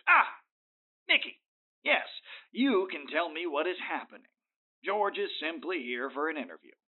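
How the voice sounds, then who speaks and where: A man playing a scheming, smooth-talking older tycoon in a comedic audio drama, with an unctuous, drawn-out emphatic delivery. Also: fairly high pitch, sing-song. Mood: smooth, persuasive. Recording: thin, phone-like sound.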